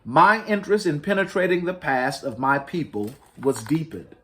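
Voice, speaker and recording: A man reading a storybook aloud to children as host, in an expressive, deliberate and emphatic storytelling manner. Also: a little high. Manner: deliberate, emphatic storytelling with dramatic rises and falls.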